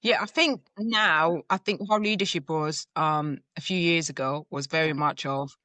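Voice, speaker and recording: A woman's voice: deep voice, moderate pace, pushed and bright, dry recording. A woman from Zambia, a leadership coach, answering interview questions in a reflective way with everyday examples.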